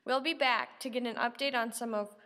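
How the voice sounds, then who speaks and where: A woman's voice: on the high side, steady tone, fairly quick, pushed and bright. A woman playing a 1930s radio news reporter, reading scripted bulletins. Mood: earnest. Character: 1930s radio newswoman reporting national, sports, world news. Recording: reverberant room, faint background noise.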